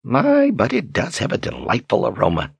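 A man's voice: mid-range voice, wildly swooping pitch, normal speed, vocal fry, husky, no echo, voice pushed up, heavily acted. A man voicing a boastful, sly old wizard who talks in a folksy, grandiose way, as in an audiobook. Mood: jovial, grandiose. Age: older. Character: theatrical, boastful, sly old wizard posing as fortune-teller. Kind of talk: audiobook character acting.

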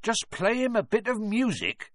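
A man's voice: high-pitched, sing-song, moderate pace, a bit bright, no room sound, studio quality, voice pushed up, exaggerated voice. Man doing a big, rambling, kindly fellow. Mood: chatty. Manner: rambling, blurting, informal. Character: big, kindly, tipsy-rambling man who blurts out secrets. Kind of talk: audiobook character voice.

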